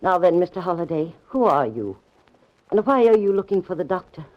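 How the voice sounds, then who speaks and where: A woman's voice: on the low side, sing-song, fairly quick. An actress playing the sorrowful, wary wife of a long-missing man in a 1940s radio melodrama. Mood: wary, anxious. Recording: dull vintage 1940s radio recording, faint music.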